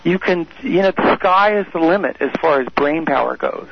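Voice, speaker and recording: A man's voice: fairly high pitch, normal speed, creaky, slightly rough. A man speaking as a guest on a radio call-in show, coaching listeners in an emphatic, repetitive way. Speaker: guest expert on the brain. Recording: dull lo-fi radio audio.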